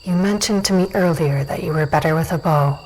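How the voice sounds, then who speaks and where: A woman playing a confident, teasing dusk elf warrior with a cool, creaky, commanding delivery in a fantasy audio drama. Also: deep voice, brisk, a little mellow, a little hiss or hum, lightly acted, rough recording. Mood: cool approval.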